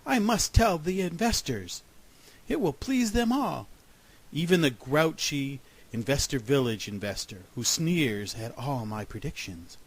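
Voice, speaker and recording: A man voicing an excitable, self-important groundhog forecaster in an animal fable, boastful and exclaiming. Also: mid-range voice, unhurried, few pauses, slightly rough, low-quality recording. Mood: self-assured.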